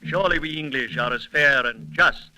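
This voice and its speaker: An actor playing a courteous but commanding English army major in a 1930s radio drama, speaking formally. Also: male voice, fairly high pitch, close to an everyday voice, rough recording. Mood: formal, diplomatic. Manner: formal, measured diplomatic address.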